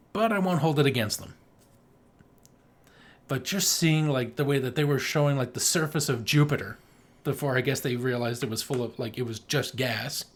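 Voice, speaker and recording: A man co-hosting a podcast, chatting casually about film in a rambling, jokey, sweary way. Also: mid-range voice. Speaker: podcast co-host.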